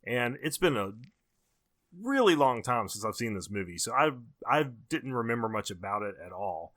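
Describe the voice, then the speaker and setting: American guy on a podcast, bright crisp voice, midrange, just chatting reviews. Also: mid-range voice, big pitch swings, moderate pace. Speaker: podcast co-host. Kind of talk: casual movie review chat.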